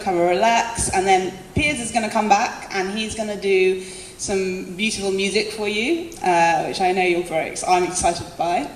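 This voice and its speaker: Chatty woman performing on stage. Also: mid-range voice. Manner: chatty and self-deprecating, laughs often. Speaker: spoken word performer. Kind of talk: stand-up style spoken word performance. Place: live concert venue. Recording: echoey hall with faint room noise.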